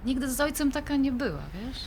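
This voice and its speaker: A woman's voice: high voice, animated, brisk, darkish tone, a little hiss or hum. A woman playing a frank, wry wife in an audio drama, talking in a chatty, colloquial way. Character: Agnieszka, frank, wry wife working on her marriage.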